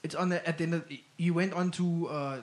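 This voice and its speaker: A young man bantering on a podcast with a blunt, slangy, teasing manner. His voice sits a little higher than most men's. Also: steady tone, fairly quick. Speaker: podcast co-host. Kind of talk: casual banter.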